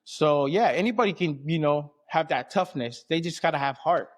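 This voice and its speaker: A man's voice: fairly high pitch, moderate pace. A man from American Samoa and Southern California, an athlete being interviewed, talking casually and colloquially with frequent check-in phrases. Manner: colloquial, frequent "you know what I mean". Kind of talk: casual conversation.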